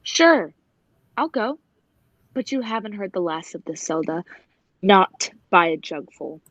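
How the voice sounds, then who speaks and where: A woman's voice: mid-range voice, lively intonation, unhurried, a bit bright, no echo, dull audio. A woman playing a jealous, hot-tempered big cat trainer in a 1940s-style radio play. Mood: threatening.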